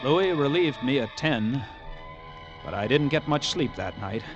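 A man's voice: mid-range voice, lively intonation, a little slow, highs cut off, loud music behind the voice, natural voice. An actor in an old-time radio drama playing a haunted lighthouse keeper who narrates his horror tale in a brooding, dramatic way. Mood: grim, horrified.

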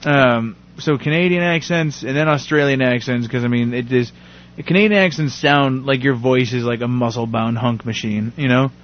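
A man's voice: mid-range voice, moderate pace, a little hiss or hum. A man co-hosting a podcast, rambling and joking in a casual chat. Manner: rambling, joking, laughs often. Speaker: podcast co-host. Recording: dull lo-fi audio.

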